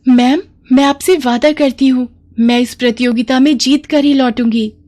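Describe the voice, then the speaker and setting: An eager, polite young schoolgirl from a children's storybook, acted out by a woman narrator. Her voice sits high and sounds earnest. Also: fairly even intonation, normal speed, dark tone, natural voice. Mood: determined. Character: eager, earnest dark-skinned schoolgirl runner.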